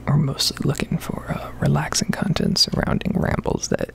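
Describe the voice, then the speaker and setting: A woman's voice: sits low, flat delivery. Whispering woman, gaming ASMR. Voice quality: whispered, breathy. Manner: casual, rambling, hesitant pauses. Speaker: video creator, game streamer.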